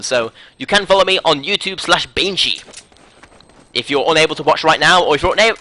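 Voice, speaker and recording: Bright-voiced British esports caster. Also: male voice, high voice. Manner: upbeat, rambling, jokes between rounds. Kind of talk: live game commentary.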